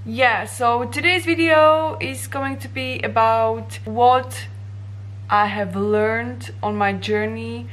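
Slow, unhurried young woman with Slovak accent. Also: on the high side, steady tone, fairly bright tone. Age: young adult. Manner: unhurried, gestures with her hands while explaining. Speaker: vlogger. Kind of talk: talking-head vlog. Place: bright indoor office room.

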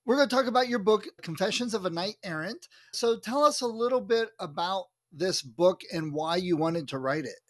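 A man's voice: sits high, lively intonation, moderate pace, hardly any pauses, fairly bright tone. A man, hosting a podcast interview in a casual, conversational style. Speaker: podcast host.

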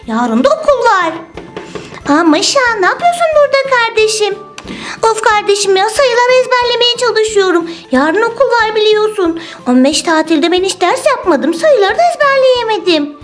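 A woman talks in a very high, sweet, childlike sing-song voice as she plays with toys. Faint music runs quietly behind her. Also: big pitch swings, moderate pace. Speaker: toy video narrator voicing all the dolls. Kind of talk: playful toy play with children's song.

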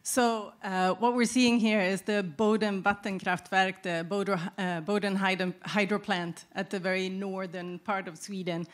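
A woman presenting on a large conference stage, polite and slightly halting as she begins. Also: medium pitch, fairly even intonation. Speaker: company presenter.

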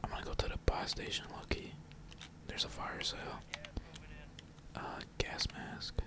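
A gamer whispering slangy, laid-back ASMR commentary while playing, with casual swearing. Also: unhurried. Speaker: gaming streamer.

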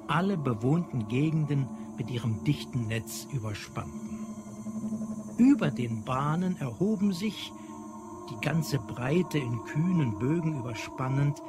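A man narrating scripted literary prose in a measured, precise way. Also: on the high side. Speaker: audio drama narrator. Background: prominent music.

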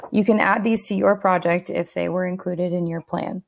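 A woman narrating a screen-recorded software walkthrough in a steady instructional tone, with a few fillers and restarts. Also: on the low side, moderate pace, vocal fry.

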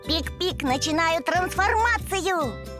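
A woman's voice: extremely high pitch, animated, slow pace, over music, full character voice. A woman voicing a friendly, helpful young truck in an educational cartoon, eagerly addressing small children.

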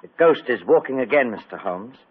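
A man's voice: mid-range voice, lively intonation, a little fast, a little hiss or hum, close to an everyday voice. An actor playing a troubled, earnest young nobleman in 1940s radio drama. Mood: anxious, earnest. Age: young adult. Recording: tinny old radio broadcast.

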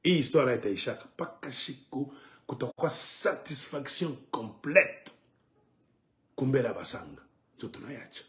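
A man's voice: mid-range voice, a little slow. A middle-aged man, delivering an impassioned, emphatic political monologue to camera at home. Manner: emphatic, animated, sweeping arm gestures. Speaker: political commentator. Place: living room at home, indoors.

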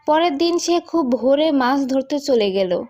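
A woman's voice: sits high, expressive, measured pace, a little mellow. A woman narrating a scripted cartoon folk tale as the storyteller.

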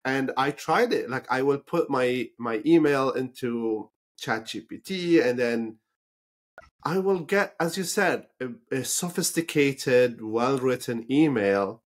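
A man's voice: mid-range voice, animated, takes it slowly. A man from Lebanon whose first language is not English, hosting a podcast interview in a thoughtful way with fillers and restarts. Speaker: podcast host. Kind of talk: conversational interview.

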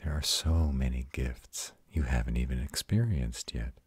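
A man voicing a tender, protective male vampire in an intimate, hushed audio roleplay, soothing and husky. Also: normal speed, dry recording, exaggerated voice. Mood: reassuring. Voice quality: very deep, husky, often breathy whispering. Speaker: voice actor.

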